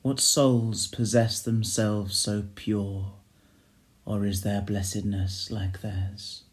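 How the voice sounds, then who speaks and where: Slow, solemn man reciting classic poetry. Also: lowish voice. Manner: solemn, measured, expressive delivery with hushed line endings. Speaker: poetry reader. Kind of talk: dramatic recitation of classic verse.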